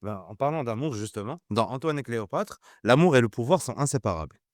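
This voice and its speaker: Man posing measured interview questions. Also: medium pitch, lively intonation, a little mellow, dry recording, studio quality.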